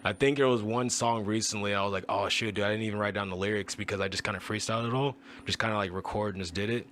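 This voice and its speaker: A man with a fairly low, raspy voice talks casually, like a musician guesting on a podcast. There is some faint background noise around his voice. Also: fairly even intonation, fast talker, a bit bright. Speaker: guest recording artist on a podcast. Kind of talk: casual chat.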